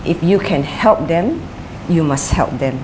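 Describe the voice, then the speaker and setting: Interview subject, middle-aged woman, lowish voice, measured and reflective, faint hiss behind. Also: animated. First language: English is her first language; Chinese is her second. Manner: thoughtful, with frequent fillers and restarts. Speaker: interviewee, former sprinter and coach. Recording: light background noise.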